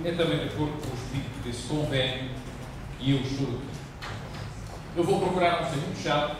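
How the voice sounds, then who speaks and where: Man, European Portuguese accent, academic speaker, careful articulation, lightly humorous, distant microphone, clearly noisy recording. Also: a little high, heavy reverb. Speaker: visiting professor, conference speaker. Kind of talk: academic conference talk with informal opening remarks. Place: conference room, heard from afar with ambient noise.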